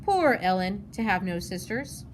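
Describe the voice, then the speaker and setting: A woman's voice: mid-range voice, sing-song, measured pace, slight background noise. A woman voicing a thoughtful, earnest schoolgirl, the older sister in a storybook. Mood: fond, chatty.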